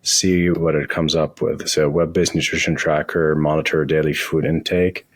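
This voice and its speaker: A man with a husky voice speaking as a guest in an interview, explaining things in a halting way with frequent restarts. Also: very deep voice, little pitch movement, dry recording. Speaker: guest, tech company founder and CEO. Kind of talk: interview conversation with software demo.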